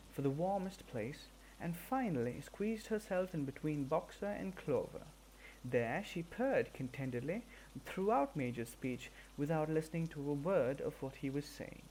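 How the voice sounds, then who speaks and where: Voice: mid-range voice, darkish tone, slight background noise, low-quality recording. A person reading a storybook narration aloud from a script. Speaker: audiobook reader.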